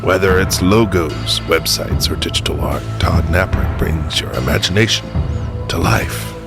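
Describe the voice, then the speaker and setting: A man's voice: deep voice, normal speed, over loud music, pitched below the performer's own voice, close to an everyday voice. A man voicing a dramatic, portentous movie-trailer announcer in an epic advertisement. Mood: grandiose, promotional. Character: grandiose movie-trailer announcer pitching a graphic design business. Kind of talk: epic trailer-style ad voice-over.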